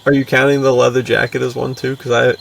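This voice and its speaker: Gravelly, slangy guy chatting. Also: medium pitch, little pitch movement, quick pace. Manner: slangy, bro-ish, full of "dude" and "like". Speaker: podcast co-host. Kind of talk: casual, enthusiastic chat. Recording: distant mic with faint background noise.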